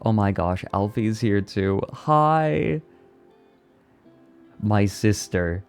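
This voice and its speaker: A man's voice: fairly low pitch, animated, drawn-out words, smooth, soft background music. A young man chatting casually on a live stream, reading and answering his chat and laughing often. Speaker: VTuber streamer.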